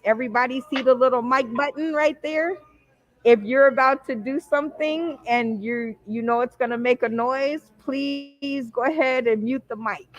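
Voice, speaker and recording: A woman's voice: sits high, fairly bright tone, no echo. An American woman hosting and moderating a casual discussion panel over dull, lo-fi online call audio.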